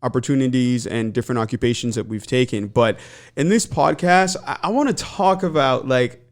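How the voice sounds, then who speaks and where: A man's voice: mid-range voice, normal speed. A man in his thirties from the Congo, hosting a podcast and asking questions in a friendly, enthusiastic way. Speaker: podcast host. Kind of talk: casual interview chat.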